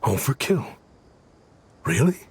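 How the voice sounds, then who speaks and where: A man's voice: medium pitch, sing-song, takes it slowly, a little mellow, slight background noise. A man playing an earnest exiled researcher in a game, hesitant yet eager in the way he talks. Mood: hopeful. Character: earnest exiled male researcher obsessed with weapons. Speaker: voice actor in a game. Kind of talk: dramatic game voice acting.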